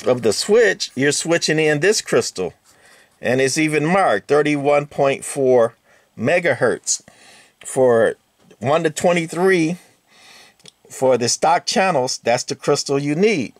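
A man's voice: a little high, no echo. A man giving a casual hands-on walkthrough of a radio's insides at a workbench, explaining in an easygoing way with many fillers and now and then talking to the parts. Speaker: radio repair hobbyist host. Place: workbench, indoors.

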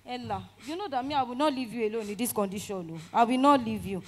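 A woman's voice: on the high side, fast talker, close to an everyday voice. A young woman with a Nigerian accent playing an anxious, caring friend in a drama, pleading and repeating herself with frequent exclamations. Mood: pleading, coaxing. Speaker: actor in a dramatic skit. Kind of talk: emotional dialogue acting with some Pidgin.

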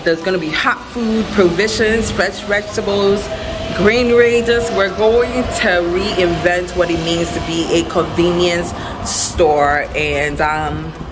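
A young woman chatting casually and excitedly to viewers as a vlogger and business owner, recorded on a camera at arm's length. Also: fairly low pitch, slow, background noise. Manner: excited, upbeat. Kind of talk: casual vlog chat to viewers. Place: outdoor storefront and bare empty shop room.

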